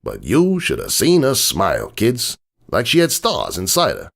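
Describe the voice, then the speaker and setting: A man playing a gruff, world-weary, streetwise stallion who tells a bedtime story in slangy, grumbling and wistful tones, as a dramatic reading. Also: lowish voice, huge pitch swings, moderate pace, a bit bright, heavily acted. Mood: wistful, smitten.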